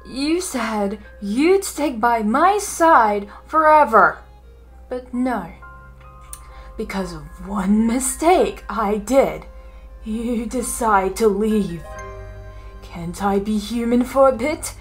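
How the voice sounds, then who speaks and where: A woman's voice: on the high side, animated, slow pace, faint music behind. A woman voicing a betrayed young woman, hurt, angry and accusing. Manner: wounded, accusing.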